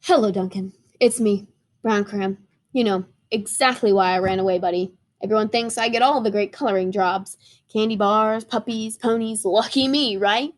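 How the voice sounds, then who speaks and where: A woman's voice: medium pitch, steady tone, normal speed, lightly acted. A woman voicing an embarrassed, sulky crayon that speaks in a wry, confiding way. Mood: sheepish.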